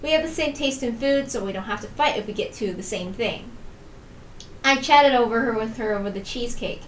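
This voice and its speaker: A woman's voice: fairly high pitch, a little fast. A woman reading a story aloud on a stream, casual and a little self-conscious, recorded in a room. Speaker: reader and streamer. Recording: roomy, distant mic with faint noise.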